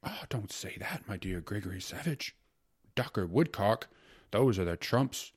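A man voicing a gluttonous, syrupy court secretary in a storybook reading, breathy and savoring every word as he wheedles and repeats himself. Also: on the low side, expressive, a little slow, darkish tone, dry recording, clean studio sound, pitched above the performer's own voice, exaggerated voice. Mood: rapturous, savoring. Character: gluttonous, syrupy male court secretary rhapsodizing about food.

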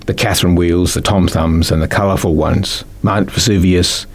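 A man's voice: deep voice, fairly even intonation, moderate pace. A man with a New Zealand accent reading a children's story as scripted narration. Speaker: author reading own book.

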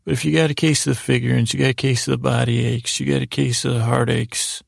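Male bedtime podcast host, heavy vocal fry, flat intonation, halting and whimsical rambler who loses his way between words. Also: mid-range voice, dry recording. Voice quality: gravelly, creaky. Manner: gently silly, whimsical.

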